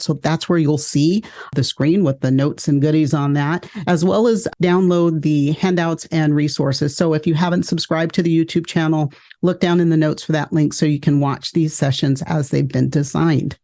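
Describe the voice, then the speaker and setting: Woman, from California, education webinar host, mellow tone, clear measured delivery. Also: low voice. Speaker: education consultant and podcast host. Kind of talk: scripted webinar presentation.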